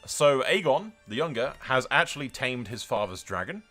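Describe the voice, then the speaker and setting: A man commentates a strategy roleplay game over faint background music. His voice is bright and forceful at a middling pitch. He rambles emphatically, swears freely and breaks into theatrical, in-character declarations. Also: very animated, normal speed. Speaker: gaming content creator and host.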